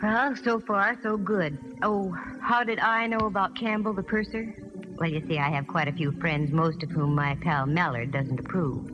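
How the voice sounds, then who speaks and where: A woman's voice: lowish voice, close to an everyday voice. An actress plays a wisecracking American woman detective in a 1940s radio show, tossing off sassy, wry lines. The old recording is dull and reverberant, with faint music behind. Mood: breezy, wry. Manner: sassy, wry, quick with quips. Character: wisecracking brassy female private detective. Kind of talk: 1940s radio acting, first-person narration and dialogue.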